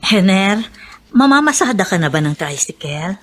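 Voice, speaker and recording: An actress playing a worried, put-upon wife who speaks plaintively in a Filipino radio drama. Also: female voice, medium pitch, big pitch swings, moderate pace, fairly bright tone, faint music behind. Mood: anxious.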